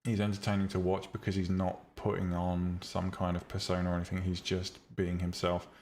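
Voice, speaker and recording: Man, very low pitch, calm relaxing voice, casual live-stream chat, opinionated. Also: fairly quick, slight background noise. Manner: blunt, opinionated, frequent ums and restarts. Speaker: streamer teaching 3D rendering and visual novel making.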